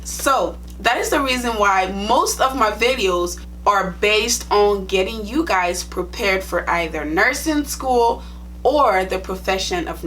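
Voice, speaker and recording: A woman's voice: mid-range voice, fairly bright tone, a little hiss or hum, low-quality recording. Chatty young woman with a Liberian accent. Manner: chatty, direct, plain-spoken. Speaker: nursing vlogger. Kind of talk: casual storytime vlog.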